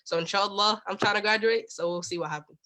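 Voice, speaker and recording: A woman in her twenties with a bright, sharp-edged voice at a middle pitch. She talks casually as a guest on a video call, in an informal student way full of filler words. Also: fast. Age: 20s. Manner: informal, peppered with "like" and "you know". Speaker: guest, college student and former intern. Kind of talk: casual chat.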